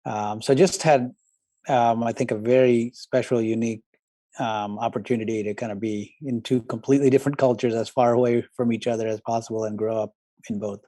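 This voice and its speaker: A middle-aged man talks through his answers reflectively, pausing and restarting often. His delivery stays even and low-key, with a dark, mellow tone. The recording is dry and close. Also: dry recording. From southern India, raised in New York. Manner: reflective, with frequent restarts and fillers. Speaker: guest, tech company founder. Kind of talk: conversational interview.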